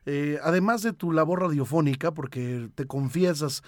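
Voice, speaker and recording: A man interviewing someone conversationally, phrasing his questions in a halting, roundabout way. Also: fairly high pitch, no room sound, clean studio sound.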